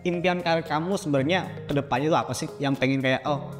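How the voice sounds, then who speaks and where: Higher-voiced Indonesian male host, heavy reverb. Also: quick pace. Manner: chatty, colloquial, checks back with tag questions. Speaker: podcast host. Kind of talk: casual interviewing. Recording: very reverberant room, clear music underneath. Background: music.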